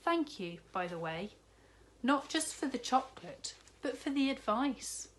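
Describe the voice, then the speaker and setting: A woman's voice: high-pitched, sing-song, a little slow, fairly bright tone, pitched above the performer's own voice, full character voice. A woman voicing a sad, hungry young schoolgirl who speaks sheepishly and mumbles.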